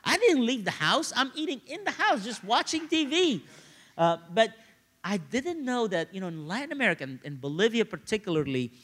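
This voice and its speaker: Animated male preacher, bright high voice. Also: fairly quick. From Egypt, grew up in Cairo. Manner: animated, conversational, repeats phrases for emphasis. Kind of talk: sermon with personal storytelling.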